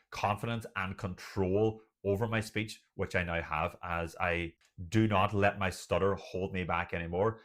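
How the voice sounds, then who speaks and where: Irish man, low-pitched voice, earnest and emphatic, motivational coaching talk, phrases stacked in lists. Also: a bit bright. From Ireland. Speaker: doctor and stutter coach. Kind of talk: motivational advice to camera.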